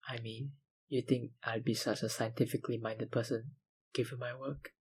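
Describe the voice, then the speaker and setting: Man playing a slow, breathy, obsessive vampire. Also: medium pitch, fairly even intonation, poor audio. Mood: measured confiding. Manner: slow, deliberate, politely insinuating, with sighs and pauses. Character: obsessive, smooth-talking male vampire lab researcher, menacingly polite. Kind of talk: intimate ASMR roleplay monologue.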